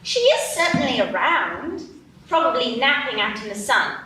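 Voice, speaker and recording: A woman voicing a kind, gently teasing older sister in a live radio play. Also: on the high side, sing-song, normal speed, a little hiss or hum. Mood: gentle. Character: Alice's kind teenage older sister Edith. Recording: echoey stage, distant mic.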